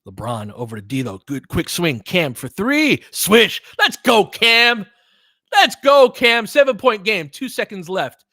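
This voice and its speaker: A man's voice: sits high, sing-song, a little slow, bright, forceful tone, no room sound. Clipped, partisan male basketball play-by-play caller, dry sound. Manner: clipped, fragmentary play calls; openly partisan, cheering for his team. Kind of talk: live fan-side play-by-play commentary.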